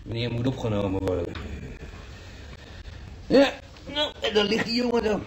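A man playing a folksy, grumbling market trader in a radio play, speaking in a halting, plaintive, colloquial way. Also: high-pitched, huge pitch swings, slight background noise. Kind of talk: Dutch radio drama acting.

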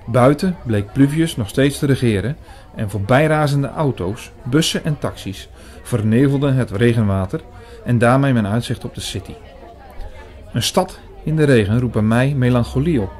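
A man narrating a radio play in the first person, measured and literary in his storytelling. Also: mid-range voice, darkish tone. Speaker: narrator and main character.

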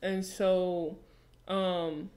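A young woman with a deep voice co-hosting a casual podcast chat, speaking into a studio mic in a small room, relaxed and drawn out with emphatic rises. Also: extremely slow. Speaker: podcast co-host. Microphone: studio mic with headphones.

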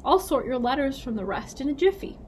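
A breezy, carefree daredevil pilot from a storybook, read by a woman. He sounds jaunty and unbothered in a fairly high voice, over faint noise. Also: animated, brisk. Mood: cheerful. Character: carefree daredevil young male glider pilot, jaunty mailman.

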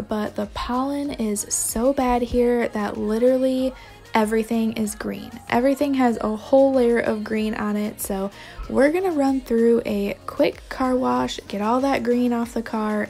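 A woman's voice: fairly high pitch, mellow. A young adult woman giving casual vlog narration as a homemaker. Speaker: homemaking vlogger.

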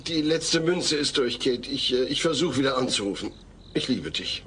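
A man's voice: mid-range voice, expressive, moderate pace, fairly bright tone, natural voice. An actor playing an anxious, polite family man in an audio play, hesitant and apologetic. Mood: worried. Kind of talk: German audio play acting. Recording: slightly noisy old cassette.

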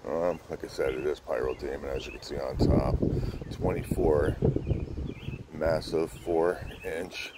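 Very deep-voiced man vlogging outdoors in wind. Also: fairly even intonation, a little slow. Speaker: fireworks channel host. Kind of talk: casual product showcase vlog. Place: outdoors in a windy spot by a pickup truck bed. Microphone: handheld camera setup with an added mic. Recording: windy outdoor noise.

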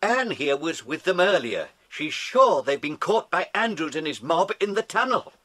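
A man's voice: on the high side, big pitch swings, moderate pace, pushed and bright, heavily acted. An actor playing a kindly, jovial man in a children's audio drama, with a hearty, exclamatory manner. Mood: urgent concern.

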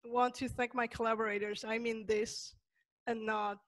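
A young woman from Peru, a Spanish native speaker with a Peruvian accent, gives an online lecture over slides, pausing often with fillers and restarts. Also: fairly high pitch, flat delivery, no room sound. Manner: thoughtful, many fillers and restarts. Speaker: webinar presenter.

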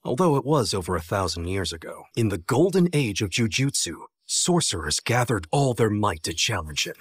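A man's voice: fairly low pitch, sing-song, normal speed, breathy edge, no echo, studio quality. An American man playing a cocky, playful young sorcerer teacher in an English anime dub, with a breezy manner. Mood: calm, explaining.

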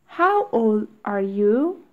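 A woman reading short English phrases aloud one at a time, with long pauses for learners to repeat. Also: medium pitch, sing-song, narrow, tinny audio. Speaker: English teacher. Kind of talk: slow read-aloud drill for learners.

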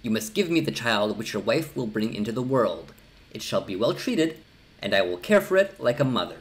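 A man's voice: medium pitch, very animated, normal speed, natural voice. A man voicing a stern, mocking old enchantress in a storybook, with a commanding, dramatic manner. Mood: stern, bargaining.

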